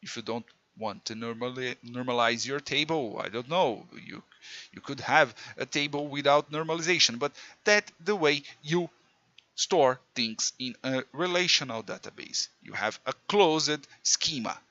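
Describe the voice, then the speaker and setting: A man's voice: medium pitch, moderate pace, bright, forceful tone, no room sound. Portuguese-accented male tutor, self-mocking, clumsy English; slightly muffled, dry close-mic sound. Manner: chatty, self-mocking, non-native English with slips. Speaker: programming teacher. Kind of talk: casual screen-recorded coding lesson.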